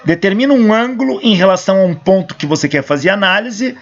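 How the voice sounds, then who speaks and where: Hoarse Brazilian professor, lively higher voice, dull lo-fi sound, talks through equations step by step. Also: male voice, fast. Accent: Brazilian Portuguese. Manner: explains step by step, asks and answers his own questions. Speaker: engineering professor. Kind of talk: lecture working through equations. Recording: dull lo-fi recording.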